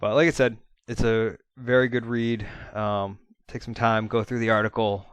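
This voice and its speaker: A man giving an informal spoken debrief in an earnest, plain-spoken, halting manner, like a trainer talking to colleagues. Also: on the low side, level tone, clean tone, dry recording. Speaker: fire-rescue commentator and trainer.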